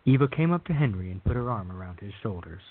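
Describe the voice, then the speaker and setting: A man's voice: on the low side, very animated, quick pace. A man reading scripted third-person narration for a radio drama. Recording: thin, phone-like band-limited audio.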